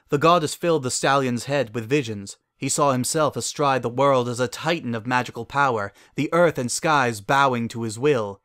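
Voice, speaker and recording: A man giving a dramatic reading of a fairy tale as narrator, in a measured, formal storytelling manner. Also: medium pitch, a bit bright, no room sound, clean studio sound.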